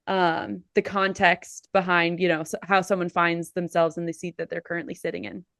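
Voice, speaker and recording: A woman's voice: fairly low pitch, level tone, normal speed, vocal fry, fairly bright tone, dry recording, studio quality. A woman hosting a podcast interview, upbeat and chatty with fillers. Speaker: podcast host.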